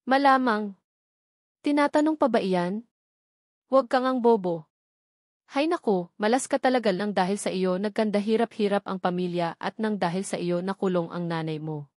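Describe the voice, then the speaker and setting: A woman's voice: mid-range voice, moderate pace, a little mellow, no echo, clean studio sound, lightly acted. A woman voicing a spiteful, contemptuous aunt in an audiobook, with a harsh, taunting, blunt manner. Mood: scornful. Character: spiteful, contemptuous aunt, scolding and insulting her niece.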